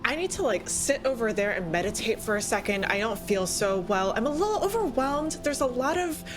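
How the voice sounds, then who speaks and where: A bewildered, overwhelmed friend, played by a woman in a tabletop game. The voice is high and lively yet casually hesitant, with music behind. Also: fairly quick, close to an everyday voice. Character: bewildered, overwhelmed friend trying to make sense of magic. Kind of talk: improvised tabletop roleplay dialogue.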